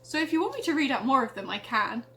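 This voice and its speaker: High-voiced woman, dramatic storyteller. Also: very animated, quick pace, fairly bright tone, slight background noise. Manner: theatrical, exaggerated delivery when narrating. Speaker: storyteller reading own story. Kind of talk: dramatic reading of a parody gothic tale.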